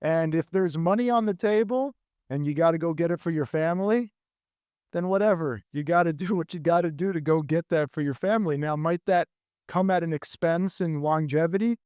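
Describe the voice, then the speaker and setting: A man being interviewed as a fitness coach, answering earnestly and thinking aloud in measured phrases. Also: a little high, darkish tone, smooth, no room sound, clean studio sound.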